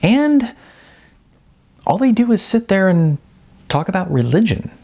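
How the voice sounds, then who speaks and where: A man's voice: high-pitched, very animated, a little slow, gravelly, dark tone, pitched above the performer's own voice, exaggerated voice. A man voicing a timid, apologetic young woman with a hesitant, stammering manner in an audiobook. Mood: earnest, coaxing. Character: Jasmine, timid young woman, apologetic, candid, eager to smooth things over. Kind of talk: audiobook character voice.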